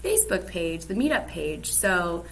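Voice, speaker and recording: A woman's voice: lowish voice, big pitch swings, a little slow, slight background noise, low-quality recording. A woman co-hosting a weekly live online show, chatting in an upbeat way and greeting viewers. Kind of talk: casual livestream chat.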